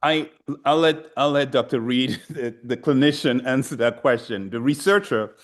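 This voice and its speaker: A man speaking as a researcher on a panel, explaining in a measured, storytelling way. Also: medium pitch.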